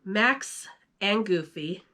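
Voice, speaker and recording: A woman reads a children's storybook aloud. Her delivery is unhurried and highly animated, with a mid-range voice that moves up and down freely.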